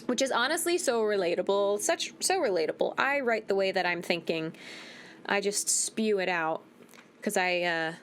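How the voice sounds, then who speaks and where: A woman's voice: on the low side, slight background noise. A woman hosting a game playthrough with casual, chatty, rambling commentary. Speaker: gaming video host.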